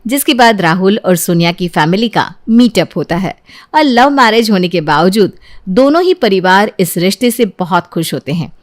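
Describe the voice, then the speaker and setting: A woman's voice: mid-range voice, expressive, normal speed. A woman reading a scripted family story as its narrator.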